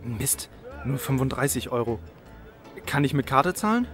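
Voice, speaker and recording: Guy doing an exasperated young moviegoer, clipped and impatient, mid pitch, cartoon comedy, faint music under it. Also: big pitch swings, normal speed, a bit bright. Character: exasperated, impatient young male cinema customer. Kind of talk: comedy audio sketch acting.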